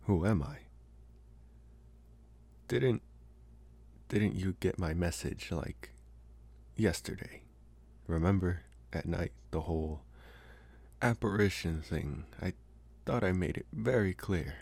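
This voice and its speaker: A man playing a polite, infatuated, mysterious vampire in an ASMR roleplay, talking slowly and reassuringly with hesitant pauses. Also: deep voice, vocal fry, a little mellow, reverberant, in a large hall, exaggerated voice. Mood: casual, teasing. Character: soft-spoken male college-age vampire, politely infatuated, mysterious.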